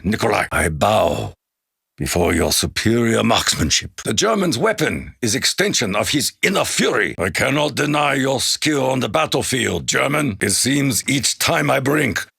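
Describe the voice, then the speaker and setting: An actor playing a solemn, proud Japanese warrior in a video game, with a gruff voice, a Japanese accent and grave, formal, archaic phrasing. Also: male voice, on the low side, a bit bright, no echo, clean studio sound, heavily acted. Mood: proud, respectful. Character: solemn, proud Japanese warrior soldier, honor-bound and menacing. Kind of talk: video game character battle lines.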